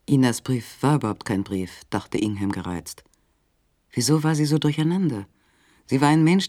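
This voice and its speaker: A man narrating a literary radio play in a measured, suspenseful storytelling manner with dramatic pauses. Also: mid-range voice, darkish tone, no echo, clean studio sound. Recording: faint background ambience.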